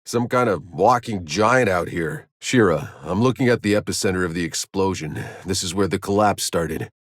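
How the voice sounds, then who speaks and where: A deep-voiced, hardened soldier. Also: male voice, moderate pace, dry recording. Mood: terse, wry. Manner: terse, dry, sardonic. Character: hardened male soldier, weary, sardonic sci-fi survivor. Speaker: video game protagonist. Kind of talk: scripted game voice lines.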